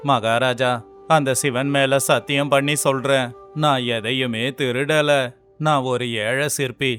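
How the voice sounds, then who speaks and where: A man playing a poor, honest sculptor who speaks pleadingly in an animated folk tale. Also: medium pitch, slow pace. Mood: distressed, pleading. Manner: pleading, deferential. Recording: music behind the voice.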